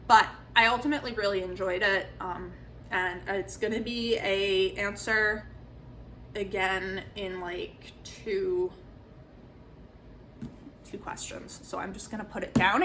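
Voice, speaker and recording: Bright-voiced woman chatting about books, light noise. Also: mid-range voice. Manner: emphatic, opinionated, informal. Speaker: book reviewer vlogger. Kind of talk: casual chat to camera, book review.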